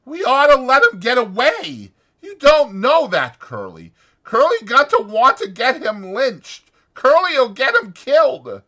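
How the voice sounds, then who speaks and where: A man voicing an anxious, grieving old ranch hand in an audiobook, with folksy rural speech and a whining, drawn-out delivery. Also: very high voice, wildly swooping pitch, sharp tone, voice pushed up, exaggerated voice. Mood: anxious pleading. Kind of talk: dramatized audiobook character voice.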